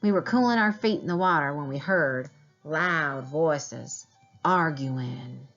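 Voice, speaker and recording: A woman's voice: low-pitched, slow, fairly bright tone, lo-fi sound, soft background music. A woman reading a children's diary novel aloud as a teacher would, in storybook narration.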